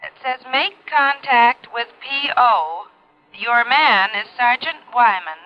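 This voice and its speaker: A woman playing a polite, literal-minded telegraph clerk in a vintage radio drama, courteous and deliberate. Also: a little high, expressive, faint music behind. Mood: courteous, unhurried. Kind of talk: 1950s-60s radio drama acting. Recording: dull vintage radio recording.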